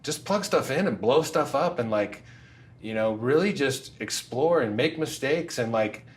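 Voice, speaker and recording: A man hosting an interview podcast, relaxed and agreeable, chatting with his guest. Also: mid-range voice, measured pace. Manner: relaxed, agreeable, backchannels a lot. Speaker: podcast host. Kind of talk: casual interview chat.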